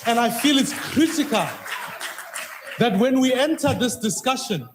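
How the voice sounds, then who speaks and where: A man, questioning the president in formal, measured parliamentary style. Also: very high voice, lively intonation, background noise. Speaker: member of parliament. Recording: echoey parliamentary chamber.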